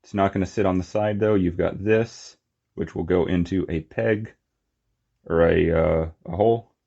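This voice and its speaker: A man's voice: sits low, steady tone, moderate pace, creaky, mellow, highs cut off. A man giving a casual, unscripted hands-on demonstration as a hobby reviewer, with informal muttered asides. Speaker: toy collector and reviewer.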